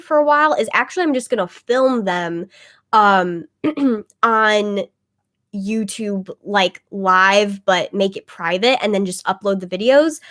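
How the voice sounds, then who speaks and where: A woman chatting casually on a webcam livestream, rambling with lots of "like" fillers and a slightly phlegmy voice. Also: medium pitch. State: a bit phlegmy, congested. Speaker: tarot reader livestreaming to viewers.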